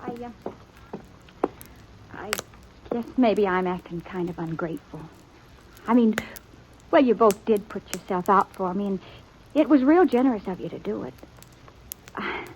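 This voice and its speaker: An actress playing a shy, proud young country stepdaughter, halting and earnest in an old-time radio western. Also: female voice, mid-range voice, a little hiss or hum, natural voice. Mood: sheepish. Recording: muffled old radio broadcast.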